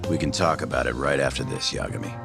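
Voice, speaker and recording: A gruff, blunt tough man with a very deep, gravelly voice for a video game. Music plays clearly behind him. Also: steady tone, fast talker. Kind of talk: English video game cutscene dub.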